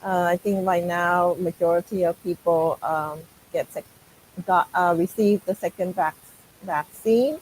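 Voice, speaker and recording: Slow, hesitant low-voiced woman. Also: fairly even intonation, a little mellow, a little hiss or hum, rough recording. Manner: hesitant, many fillers. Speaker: guest. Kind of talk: casual video-call chat.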